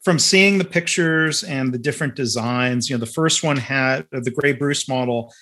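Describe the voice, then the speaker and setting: A man with a mid-pitched voice moderates a webinar in a relaxed way, filling his sentences with easy "um"s. He sounds unhurried and friendly in tone. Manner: relaxed, many fillers. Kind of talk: webinar conversation.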